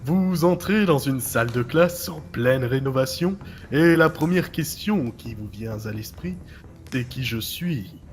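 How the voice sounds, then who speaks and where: A man acting a mocking, menacing director in an audio drama, with deliberate, theatrical taunts. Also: mid-range voice, expressive, lightly acted. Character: mocking, menacing male director, hero's forgotten other self. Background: music.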